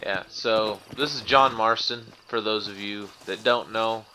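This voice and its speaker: A man's voice: on the low side, measured pace, bright, forceful tone, low-quality recording. A man hosting a let's play, chatting informally in casual gameplay commentary.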